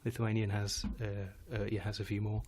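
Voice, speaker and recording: A man's voice: lowish voice, completely flat delivery, a little fast, darkish tone, slight background noise. A man speaking as a guest on a live show, chatting casually, often restarting and trailing off, laughing now and then. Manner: rambling, self-correcting, laughs often. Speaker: guest musician. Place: home studio in Denmark.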